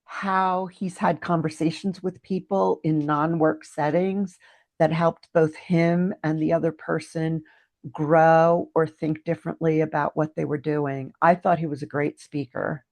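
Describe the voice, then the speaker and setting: Low-voiced woman, thoughtful and unhurried. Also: a little mellow, no room sound. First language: French. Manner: thoughtful, hesitant with frequent ums. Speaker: guest. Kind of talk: casual podcast interview.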